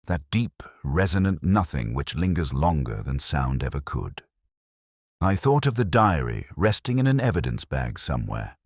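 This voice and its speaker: A man with an extremely low voice reads a scripted detective drama. His delivery is measured and brooding, with lively rises and falls in pitch. The sound is dry and close, suited to a reflective, weary investigator telling his own case. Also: no room sound. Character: world-weary, reflective male police detective. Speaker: audio drama narrator. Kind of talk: scripted first-person detective story narration.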